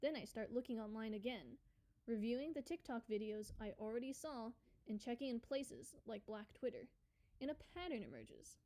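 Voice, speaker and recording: A woman's voice: fairly high pitch, lively intonation, normal speed, warm tone. A woman playing an inquisitive, earnest podcast reporter in a radio play. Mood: intrigued, narrating. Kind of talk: radio play acting, interviews and narration.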